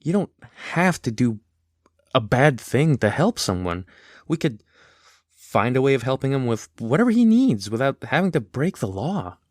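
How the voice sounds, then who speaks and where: A man's voice: medium pitch, big pitch swings, normal speed, dry recording, studio quality. Man as a principled teen boy, swooping intonation. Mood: concerned, pleading. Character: polite, principled well-off teenage boy. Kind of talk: audio drama dialogue.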